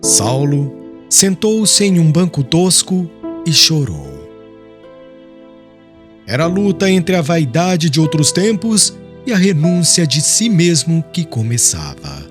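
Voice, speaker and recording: Expressive, higher-voiced male audiobook narrator. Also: takes it slowly, over music. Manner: solemn, formal. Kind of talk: scripted dramatic literary narration.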